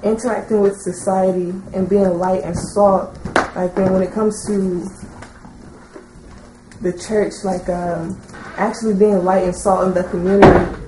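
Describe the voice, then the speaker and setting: A woman in the audience at a teaching session, putting a long, unscripted question in a hesitant, halting way full of filler likes. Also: medium pitch, little pitch movement. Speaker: audience member asking a question. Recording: roomy echo, faint background noise.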